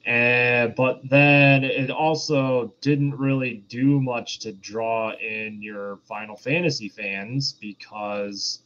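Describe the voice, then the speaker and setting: A man's voice: mid-range voice, fairly even intonation, takes it slowly, few pauses, fairly bright tone. A man co-hosting a casual film review podcast, explaining his points on a lo-fi recording. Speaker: podcast co-host. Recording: dull lo-fi sound, faint noise.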